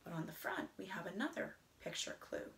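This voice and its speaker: A middle-aged woman reads a picture book aloud to children in a rhythmic, singsong way, often dropping into hushed whispers. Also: on the low side, big pitch swings, fairly quick, vocal fry, a little hiss or hum. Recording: roomy indoor echo.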